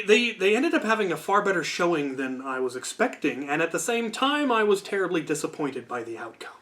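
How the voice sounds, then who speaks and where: A man's voice: on the high side, a bit bright. A man hosting a storytelling channel, talking in a casual, unscripted, discursive way with wry asides.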